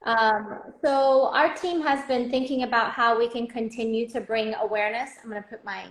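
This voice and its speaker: A friendly woman organizer chatting about upcoming events. Her voice is a touch higher than most. It sits in a noticeably echoey room. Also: fairly even intonation, moderate pace. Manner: friendly, a little rambling. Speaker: guest community organizer. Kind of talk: casual chat.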